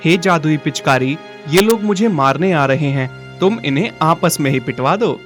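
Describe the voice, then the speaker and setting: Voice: mid-range voice, expressive, normal speed, faint music behind, close to an everyday voice. An actor voicing a poor, devout, kind-hearted orphan boy in a children's cartoon story, earnest and full of wonder. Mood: urgent.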